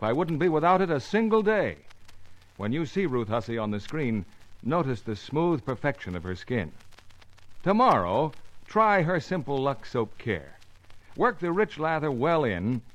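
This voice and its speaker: A man's voice: medium pitch, rough recording. A man announcing in a polished, emphatic, scripted 1950s radio advertising style. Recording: 1950s radio broadcast, faint music.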